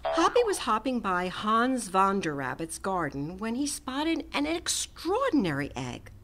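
A woman reading a storybook aloud to children in a gentle, expressive way. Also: mid-range voice, over music. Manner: gentle, expressive, slow and lively. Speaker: storybook reader.

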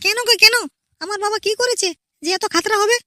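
A timid young village wife from a comedy cartoon, pleads in an extremely high voice. She sounds naive and anxious, with heavy noise over the audio. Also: brisk. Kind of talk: amateur comedy cartoon dubbing. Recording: very noisy amateur cartoon audio.

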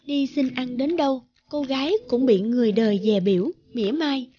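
Mellow woman narrating a folktale. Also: high voice, normal speed, breathy edge. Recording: dull, band-limited sound.

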